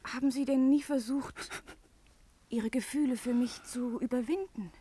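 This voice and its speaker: A woman's voice: sits high, steady tone, normal speed, warm tone, a little hiss or hum, natural voice. A woman in a German radio play acting a proud, distressed young lady, formal and emotional in her reproach. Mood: reproachful.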